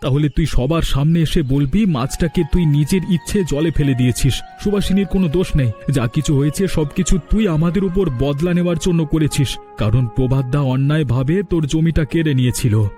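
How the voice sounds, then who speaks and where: A man's voice: a little high, a little fast, mellow, soft background music, natural voice. A man playing a stubborn, commanding elder brother who heads a village household in a melodramatic cartoon story. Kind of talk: Bengali cartoon story voice acting.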